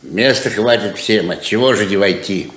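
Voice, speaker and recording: A man's voice: lowish voice, animated, moderate pace, a bit bright, slight background noise. An actor playing a plain-spoken, hospitable old farmer father in a 1970s radio play. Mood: dry, easygoing. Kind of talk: 1970s Soviet radio theatre acting. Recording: roomy 1970s radio drama recording.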